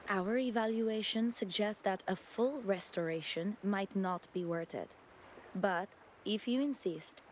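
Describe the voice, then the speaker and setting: A woman's voice: mid-range voice, slight background noise, lightly acted. A woman playing a dutiful subordinate in a video game, reporting in a deferential, formal and precise manner. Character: dutiful female subordinate reporting to her lady, polite and efficient. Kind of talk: scripted video game character acting.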